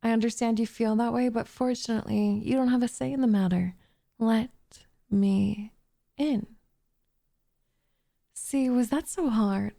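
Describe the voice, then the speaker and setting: A lovestruck, possessive witch, acted by a woman for an ASMR roleplay. Her voice is fairly high yet mellow, with a gravelly fry at the edges. She coaxes softly and talks down sweetly, sinking into whispers with sinister intimacy. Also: a little slow, pauses often. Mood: insistent. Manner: soft, coaxing, condescending, with whispered phrases.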